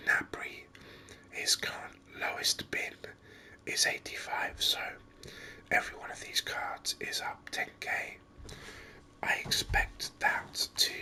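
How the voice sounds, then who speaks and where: A gaming host whispers ASMR commentary close to the microphone. The voice is breathy and almost entirely unvoiced, with a very crisp, sharp brightness. The delivery is soft and unhurried, explaining things step by step.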